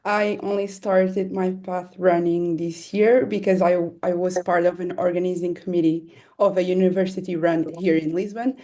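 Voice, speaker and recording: A woman's voice: fairly low pitch, fairly even intonation, moderate pace, hardly any pauses, warm tone. A woman from Portugal, introducing herself plainly.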